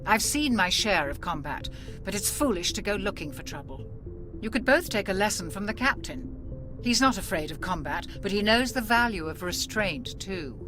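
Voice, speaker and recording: A woman acting a cautious, sensible military doctor in a video game, speaking in a measured, reproving, matter-of-fact way. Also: mid-range voice, lively intonation, close to an everyday voice. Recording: loud music behind the voice. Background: music.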